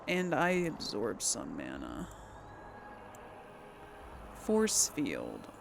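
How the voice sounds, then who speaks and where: A woman's voice: rumbling low, huge pitch swings, very slow, vocal fry, background noise. A woman giving casual gaming commentary, thinking aloud in a hesitant, musing way and often trailing off. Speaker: let's player hosting a role-play playthrough.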